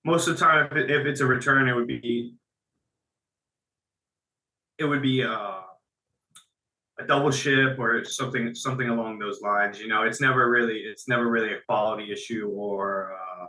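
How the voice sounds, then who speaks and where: Bright-voiced man answering in an echoey room. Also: medium pitch, steady tone, normal speed, long pauses. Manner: conversational, repeats phrases as he restarts. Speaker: business guest. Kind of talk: casual interview answers.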